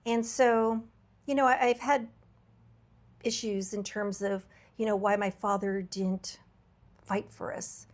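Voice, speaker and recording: Slightly raspy woman, reflective podcast host. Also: mid-range voice, little pitch movement, takes it slowly, long pauses. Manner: earnest and reflective, many fillers and restarts. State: slightly raspy voice from travel fatigue. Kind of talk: candid podcast conversation.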